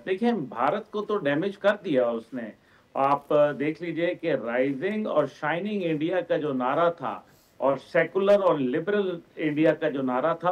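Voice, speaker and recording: A Pakistani man with a notably high voice, heard through dull, lo-fi audio. He speaks as a political commentator, laying out long and emphatic explanations. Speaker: guest commentator, organisation chairman.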